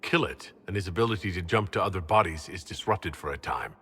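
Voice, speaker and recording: Game hero lead: resolute army leader, earnest, slightly low and crisp-edged. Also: male voice, lively intonation, fairly quick, bright, forceful tone, in a large hall, heavily acted. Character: determined male fantasy hero, leader of an army. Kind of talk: video game voice acting.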